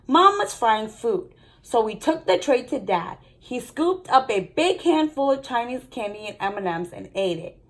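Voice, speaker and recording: Female storybook reader, fairly high voice, expressive delivery for young listeners. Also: normal speed. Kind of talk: children's story read-aloud with brief commentary.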